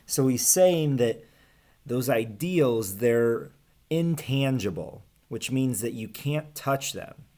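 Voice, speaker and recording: An American man in his thirties teaching casually to camera, explaining things in plain, simple words with pauses. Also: medium pitch, lively intonation, warm tone. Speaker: teacher reading aloud to students. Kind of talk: casual read-aloud lesson to camera. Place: small room at home with bookshelves. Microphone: webcam or laptop microphone at desk distance.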